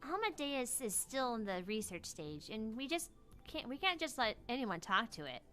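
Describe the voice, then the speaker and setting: A woman's voice: on the high side, big pitch swings, moderate pace, pushed and bright, soft background music. A woman voicing an earnest young female research scientist in an anime game read-aloud, explaining things in a businesslike way. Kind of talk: visual novel character read-aloud.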